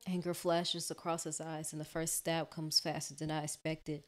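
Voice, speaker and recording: Woman playing a fearful low-voiced stalking victim. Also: little pitch movement, normal speed, music in the background, lightly acted. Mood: guilty, panicked. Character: terrified, guilt-ridden victim of a stalker, narrating.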